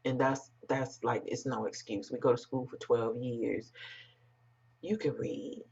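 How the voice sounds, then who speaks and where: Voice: medium pitch, level tone, unhurried, gravelly, a little mellow, slightly rough. An American commentator with an androgynous voice, talking bluntly and emphatically in informal, unscripted remarks to online viewers. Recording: dull, muffled lo-fi sound.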